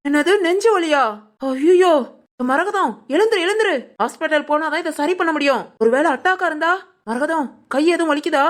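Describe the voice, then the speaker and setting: A woman voicing a fussy household cook who frets anxiously and quickly. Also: high-pitched, natural voice. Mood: flustered. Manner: rapid anxious fretting.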